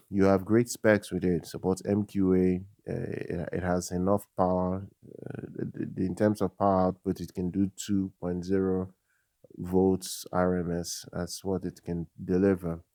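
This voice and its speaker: A man hosting a tech channel, giving casual product commentary in a halting way, often repeating words and phrases. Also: sits low, dark tone, no echo, clean studio sound. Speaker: tech review channel host.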